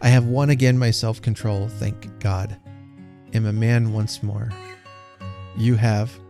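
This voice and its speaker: A man acts out a repentant storybook father with a dark, mellow tone and some gravel. He is solemn, tender and earnest, choosing formal old-fashioned words. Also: lowish voice, fairly even intonation, takes it slowly, faint music behind. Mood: solemn confession. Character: sombre repentant man, tender, parting from his children.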